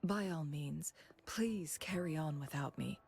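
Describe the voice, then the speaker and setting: Low-voiced, poised, courteous guide. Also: female voice, gravelly, a little mellow, a little hiss or hum. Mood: calm, measured. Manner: measured, formal, poised. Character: composed, courteous female guide and strategist, elegant and confident. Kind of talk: video game voice acting.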